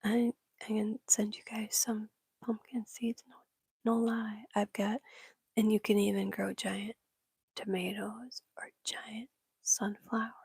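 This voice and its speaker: Whispery breathy woman chatting on a stream, gravelly, dry close sound. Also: medium pitch, little pitch movement, a little slow, no room sound. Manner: soft whispering, chatty, laughs often. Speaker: livestream host. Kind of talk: whispered casual chat with viewers.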